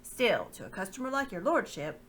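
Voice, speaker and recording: A woman's voice: medium pitch, big pitch swings, a little fast, a bit bright, a little hiss or hum. A woman voicing a coarse male slave-dealing pirate who talks in a fawning, wheedling, ungrammatical way. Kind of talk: storybook reading.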